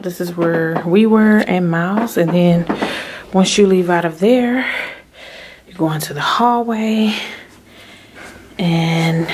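A woman's voice: lowish voice, noisy background. Chatty woman touring an empty house. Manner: chatty, relieved, addresses viewers as guys and y'all. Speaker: vlogger. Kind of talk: casual house tour vlog. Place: empty house, rooms and basement. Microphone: handheld phone camera.

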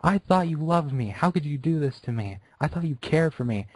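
A man's voice: on the high side, expressive, moderate pace, a little mellow, dry recording, voice pushed up. Man voicing a heartbroken young filly, pleading through tears. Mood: sobbing plea. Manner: tearful, pleading. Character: young female pegasus filly, desperate and heartbroken.

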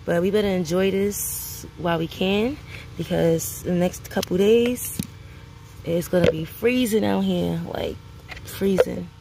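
A woman's voice: lowish voice, a little slow, creaky, noisy background. A woman from Brooklyn, chatting casually to viewers while picking peppers in a sunny outdoor garden, filmed on a phone. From Brooklyn, New York City. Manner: chatty, addresses viewers as guys. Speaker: homestead vlogger.

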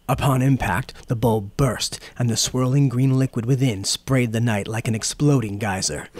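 A man narrating a scripted dark fantasy audiobook in a solemn, dramatic storytelling manner. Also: on the low side, a little hiss or hum.

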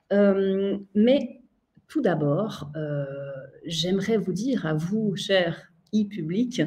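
A woman's voice: medium pitch, dark tone. A woman in her thirties with a Swiss French accent, moderating a live online panel over a video call, with many fillers and restarts.

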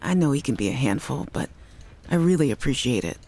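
A woman's voice: rumbling low, animated, a little fast, creaky, a little mellow, breathy edge, heavily acted. A woman voicing an upbeat young woman recording chatty, giggly audio messages to her little sister in a game. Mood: worried. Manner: cheerful, chatty, giggly, affectionate. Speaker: game character in audio logs. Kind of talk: voiced game audio log.

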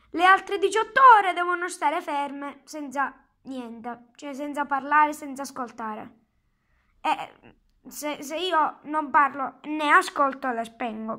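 Casual product reviewer, high voice, unhurried halting explanations. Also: bright, forceful tone. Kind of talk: casual hands-on product demonstration. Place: indoors at a table at home. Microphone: handheld phone.

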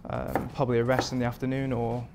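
Relaxed English chef, flat low-key voice. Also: male voice, fast, vocal fry, noisy background. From England. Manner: relaxed, rambling with many fillers. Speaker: performance chef. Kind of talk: interview answers. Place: modern home kitchen indoors.

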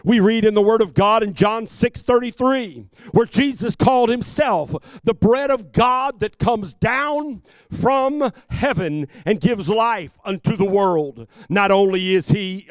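A man in his fifties preaching a sermon as a pastor, speaking emphatically into a handheld microphone with deliberate repetition. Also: high-pitched, clean tone, no echo. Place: church sanctuary stage at a pulpit. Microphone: handheld microphone held close.

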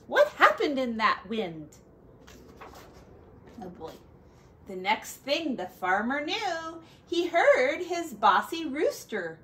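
Very animated, slow-paced woman reading a storybook. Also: a little high, a little hiss or hum. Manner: expressive, dramatic, drawn-out. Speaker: teacher reading aloud to children. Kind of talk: animated storybook read-aloud with chatty asides.

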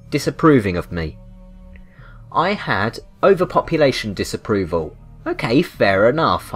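A British man giving rambling, unscripted video game commentary with a wry, mock-indignant manner. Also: medium pitch. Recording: music behind the voice. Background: background music.

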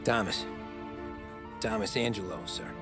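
Actor as earnest young American cabbie, lowish voice. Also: male voice, a little slow, loud music behind the voice, natural voice. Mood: respectful, resolute. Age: young adult. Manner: respectful, understated. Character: earnest, determined young American cab driver. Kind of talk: dramatic video game cutscene acting.

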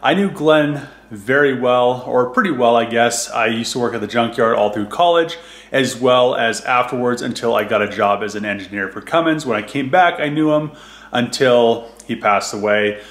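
A man's voice: medium pitch, normal speed, fairly bright tone. A man in his thirties hosting a casual car vlog, talking in an easygoing, conversational way with plenty of fillers. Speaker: YouTube host. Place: car garage, then indoors at home.

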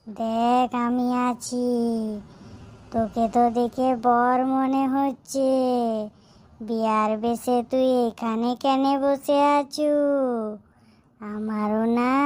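A woman's voice: a little high, no echo. A woman voicing a lonely ghost bride-seeker. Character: lonely female ghost in a tree, eager to marry.

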